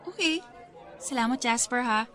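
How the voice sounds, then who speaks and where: Bright-voiced young romantic heroine. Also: female voice, a little high, animated, normal speed, a faint crowd. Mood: caring, apologetic. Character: earnest, playful young single woman being courted. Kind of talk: Tagalog radio romance drama dialogue.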